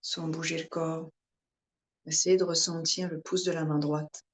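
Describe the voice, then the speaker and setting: A woman yoga instructor guiding a relaxation meditation in calm, soothing short phrases with pauses. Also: low-pitched, slight background noise.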